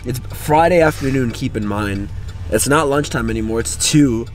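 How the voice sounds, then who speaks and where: A man's voice: mid-range voice, normal speed, soft background music. A young man from Texas chatting casually to camera in a laid-back, slangy way as a vlogger. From Texas, United States. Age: young adult. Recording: clear car and traffic noise.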